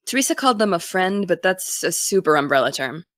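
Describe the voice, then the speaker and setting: A woman's voice: on the low side, sing-song, brisk, fairly bright tone, no echo. A woman playing a cautious, caring teenage podcaster in an audio drama, conversational and a little fretful. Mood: uneasy, wry. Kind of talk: naturalistic audio drama dialogue.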